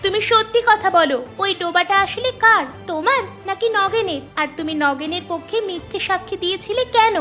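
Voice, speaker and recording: A woman's voice: extremely high pitch, fairly quick, hardly any pauses, bright, forceful tone. A woman voicing a determined, crafty young village girl in a Bengali cartoon, speaking firmly and insistently. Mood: stern. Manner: firm, insistent. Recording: music behind the voice.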